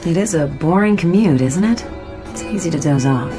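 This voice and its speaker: An actress playing a polite, bored woman commuter making musing, unhurried small talk in a radio drama. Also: deep voice, animated, over music.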